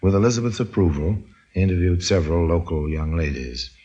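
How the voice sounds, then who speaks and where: An actor as a wry, knowing narrator telling a mystery story on old-time radio. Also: male voice, low-pitched, animated, fairly quick, mellow, slightly rough. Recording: muffled old radio recording.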